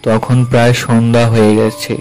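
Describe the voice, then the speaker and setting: A mysterious village man, played by an actor speaks in a flat, measured tone, polite and knowing. His voice is mid-pitched, with faint music behind it, as in a horror cartoon. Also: natural voice, low-quality recording. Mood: knowing, ominous. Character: mysterious village man met at night, politely inquisitive storyteller. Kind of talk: animated horror story acting.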